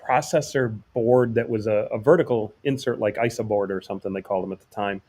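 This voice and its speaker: A man's voice: on the low side, fairly even intonation, quick pace, a little mellow, breathy edge. Nasal man with a flu. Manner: joking, rambling storyteller. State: getting over the flu, nasal. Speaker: podcast co-host. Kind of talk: casual chat.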